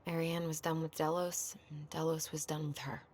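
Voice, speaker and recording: Against clear background noise, an actress plays a young American woman with a fairly low voice. She is a caring, wry sister who doubts herself, in naturalistic game cutscene style. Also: level tone, moderate pace, a little mellow, close to an everyday voice. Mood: somber. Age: young adult.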